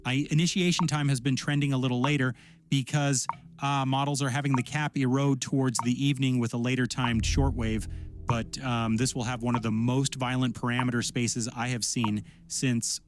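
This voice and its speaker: A man's voice: medium pitch, level tone, normal speed. Male storm forecaster talking over music. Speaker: weather forecaster. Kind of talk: technical forecast discussion. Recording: music clearly behind the voice.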